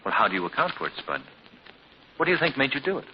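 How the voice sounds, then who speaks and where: A suave, assured gentleman detective with a lively, rising and falling delivery. Classic 1940s radio drama acting. The sound is muffled old broadcast with a light hiss. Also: medium pitch, fast talker.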